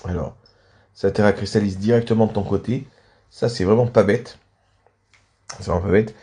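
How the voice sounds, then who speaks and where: Low male voice, soft dark tone, slightly congested, casual gaming commentary, host role. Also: steady tone, moderate pace. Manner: frequent throat clearing and coughing. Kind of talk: casual match commentary of a video game.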